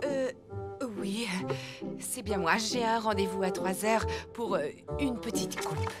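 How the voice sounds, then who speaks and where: A woman voicing a gentle, polite mother elephant in a children's cartoon, slightly hesitant in her speech. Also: medium pitch, takes it slowly, close to an everyday voice. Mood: pleased, hesitant. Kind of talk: French children's cartoon dub. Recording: constant loud cartoon music.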